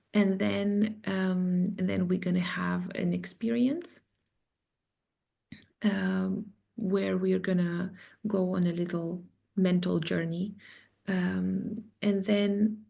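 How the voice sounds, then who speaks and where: A woman from Russia gently guiding an online sharing circle, talking softly with many pauses and ums. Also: medium pitch, creaky. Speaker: circle facilitator. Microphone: video call.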